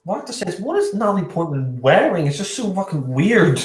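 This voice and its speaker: A man's voice: high-pitched, sing-song, quick pace, echoey room. A man giving casual fan commentary on a movie, mocking and swearing, often repeating words as he talks.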